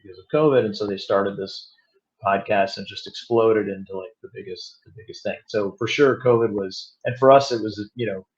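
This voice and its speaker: A man speaking casually as an interview guest, with halting, roundabout phrasing. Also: on the low side, steady tone. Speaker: podcast guest, audio drama producer and director. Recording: slightly dull, lo-fi sound.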